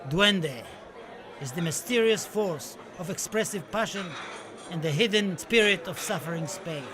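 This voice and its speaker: High-voiced, impassioned Spanish poet, acted by a man. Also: expressive, unhurried, a crowd in the background. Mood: fervent. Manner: impassioned, declamatory, dramatic. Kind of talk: theatrical radio-play monologue and poetry recital. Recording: music under the voice. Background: music.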